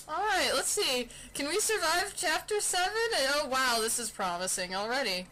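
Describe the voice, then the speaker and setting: A woman's voice: high voice, expressive, a little slow, pauses often, bright, forceful tone, rough recording. A woman doing an amateur, playful mock-dramatic story reading with casual asides. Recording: faint fan noise behind.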